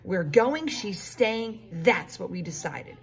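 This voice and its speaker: A woman voicing a firm, resolute father in a children's story, speaking deliberately and emphatically. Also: mid-range voice, lively intonation, husky, exaggerated voice. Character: firm, resolute father placating his daughter. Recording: noticeable room noise.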